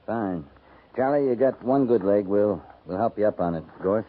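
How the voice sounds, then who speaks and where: Actor as deep-voiced, laconic cavalry captain. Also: male voice, normal speed, lightly acted. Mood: steady, curt. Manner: terse, dry, matter-of-fact. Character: laconic, steady middle-aged male cavalry captain on the frontier. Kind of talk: 1950s radio western acting. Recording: muffled, noisy 1950s radio recording.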